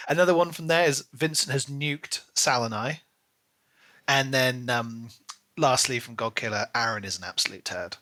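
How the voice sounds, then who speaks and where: Bright-voiced man chatting as podcast co-host. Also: mid-range voice. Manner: chatty and hedging, with frequent "like" and "you know". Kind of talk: casual book discussion.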